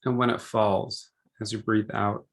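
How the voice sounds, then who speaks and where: Male guided-meditation leader, lowish pitch with plenty of vocal fry, gentle and measured delivery with pauses between phrases. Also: little pitch movement.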